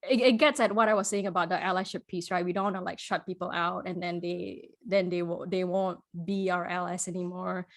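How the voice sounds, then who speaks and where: A woman from Singapore, a podcast guest, talking in a thoughtful, halting way with many restarts and frequent laughs. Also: fairly low pitch, fairly even intonation. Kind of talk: casual conversational interview.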